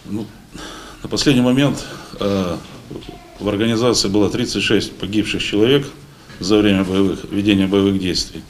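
A man in his forties giving a halting, informal speech at a meeting, pausing often. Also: fairly low pitch, fairly even intonation. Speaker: paratrooper unit member addressing a meeting. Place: meeting hall at a lectern. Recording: noisy room recording.

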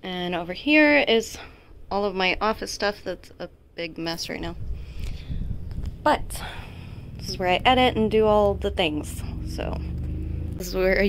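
Casting: young mom vlogger, chatty, self-deprecating, phone-mic sound with faint room hum. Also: female voice, mid-range voice, fairly bright tone. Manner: chatty, laughs often, self-deprecating asides. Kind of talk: casual house tour narration. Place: small home, indoors.

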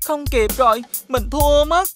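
An eager little schoolchild in an animated cartoon. The high, childlike voice has a pleading, wheedling way about it, and music plays clearly behind. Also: female voice, a little slow. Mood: anxious.

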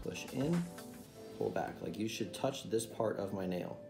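A man's voice: on the low side, expressive, a little slow, gravelly, music in the background. A man in his thirties, a pastor, patiently teaching children step by step at a home dining table, repeating each instruction. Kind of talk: playful how-to demonstration for kids. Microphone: camera sitting on the table.

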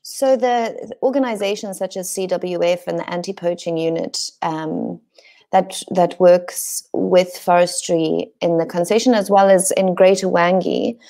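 Zimbabwean woman hosting with a gravelly, mellow voice. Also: lowish voice, fairly even intonation, a little slow. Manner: enthusiastic, hesitant with fillers. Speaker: lodge host. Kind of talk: hosting a video-call webinar. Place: home room indoors. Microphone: video call.

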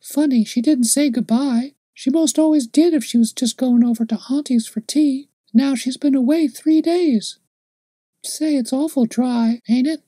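A man's voice: a little slow, mellow, breathy edge, no echo, heavily acted. A man putting on a solemn little girl. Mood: chattering. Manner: high childish voice, earnest and plain.

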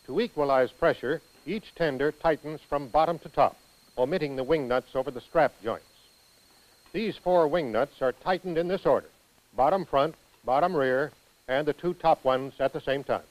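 An American man reading scripted instructional narration for a training film in a precise, measured manner. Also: a little high, low-quality recording.

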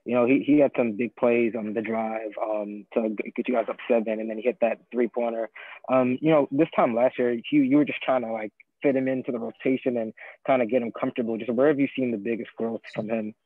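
A man's voice: mid-range voice, steady tone, brisk, dry recording. A man, a sports reporter, asking a hesitant, filler-heavy question at a press conference over a phone line. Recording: tinny dry phone line.

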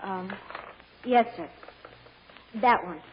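An actress playing an earnest, deferential hotel chambermaid on the witness stand in a radio courtroom drama, answering in a hesitant, plain-spoken way. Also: female voice, medium pitch, extremely slow. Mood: nervous, dutiful. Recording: muffled vintage radio recording with clear noise.

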